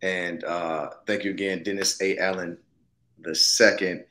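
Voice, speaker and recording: A man with a fairly low voice talks on a video call as an interview guest. He is reflective and informal, thinking aloud and often checking that the listener follows him. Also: little pitch movement, a little slow, pushed and bright. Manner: thoughtful, casual, punctuated with "you know what I'm saying". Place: indoor room, video call. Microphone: video call, seated close to the camera.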